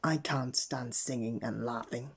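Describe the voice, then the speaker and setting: A man's voice: medium pitch, fairly even intonation, unhurried, gravelly, husky. A man voicing a grouchy, moaning storybook character who scornfully complains about everything.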